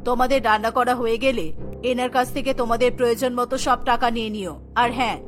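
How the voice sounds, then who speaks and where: A woman's voice: a little high, fairly even intonation, a little fast, soft background music, voice pushed down, natural voice. A woman voicing a wealthy, bossy middle-aged mother of the bride in a cartoon, speaking in a commanding, businesslike manner. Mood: bossy, impatient.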